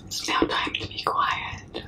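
A young woman whispers in a breathy, unvoiced ASMR style. She speaks extremely slowly and soothingly, repeating herself in a comforting way. The mic is very close. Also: recorded from a distance. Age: young adult. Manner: slow, hushing, soothing, repetitive. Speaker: ASMR performer. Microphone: close mic, very near the camera.

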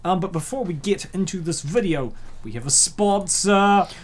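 A man's voice: sits high, animated, normal speed. A man hosting casual comic commentary, jokey and given to exaggerated reactions. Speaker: host.

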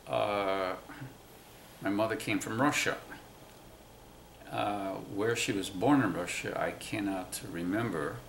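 A man in his nineties, born in Cuba, slowly recalling his family's past in an oral history interview, pausing often. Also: on the low side, gravelly, a bit bright, roomy sound, slight background noise. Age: 90s. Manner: slow, halting recollection with many pauses. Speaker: interviewee, WWII navy veteran. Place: museum room, indoors.

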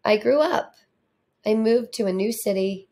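A woman reading a storybook aloud for children in a deliberate, expressive manner. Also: mid-range voice. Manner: deliberate, animated delivery. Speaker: storybook reader, teacher.

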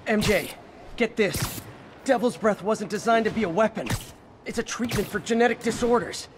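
A man's voice: high voice, moderate pace, background noise, natural voice. An actor voicing an earnest, worried young male superhero in a video game. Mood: urgent, concerned. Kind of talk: game voice acting.

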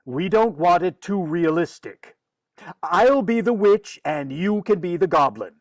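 A woman's voice: lowish voice, expressive, a little slow, dry recording. A woman playing a firm, wry, long-suffering father in a 1940s radio comedy. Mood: coaxing. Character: exasperated, firm father of a mischievous girl.

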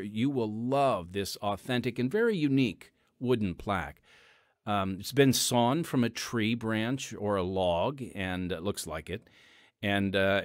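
A male podcast host speaking in a friendly, chatty way. His pitch moves a lot as he talks. The studio sound is dry and close. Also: dry recording. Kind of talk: podcast host segment and announcements.

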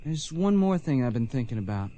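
A man's voice: mid-range voice, very animated, fairly quick, mellow, slight background noise, natural voice. An actor playing an eager, determined young farm boy in a western radio drama, earnest and deferential.

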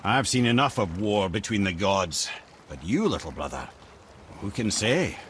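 An actor playing a wise, wry old storyteller with a Scottish accent in a video game, measured and lyrical. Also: male voice, on the low side, big pitch swings, a bit bright, slight background noise. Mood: wry, grave. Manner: measured, lyrical, fond toward the young listener.

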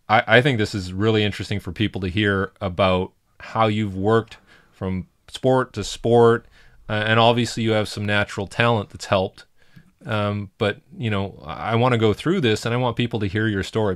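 A man's voice: on the low side, a bit bright, dry recording. A middle-aged man hosting an interview podcast in a small studio, talking eagerly and rambling with many restarts. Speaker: podcast host. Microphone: desk mic on boom arm.

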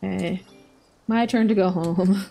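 A young woman in her twenties chatting casually while she plays a game on stream, thinking aloud through a headset mic, her voice a bit raspy today. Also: mid-range voice, steady tone, unhurried. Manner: chatty, thinking aloud, soft asides to chat. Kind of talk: casual gameplay commentary.

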